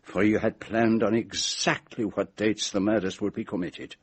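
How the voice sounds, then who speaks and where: Shrewd radio-drama detective: low, husky voice, formal, methodical, accusatory delivery. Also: male voice, fairly even intonation, normal speed. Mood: methodical, exposing. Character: shrewd, methodical male detective laying out his case. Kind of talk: radio mystery drama acting.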